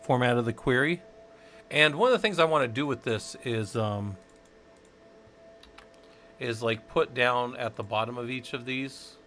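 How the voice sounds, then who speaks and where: A man's voice: medium pitch, measured pace, pauses often, pushed and bright. A man from the Southwest United States rambling and thinking aloud while teaching a casual live-stream tech tutorial, chatting with viewers as he works.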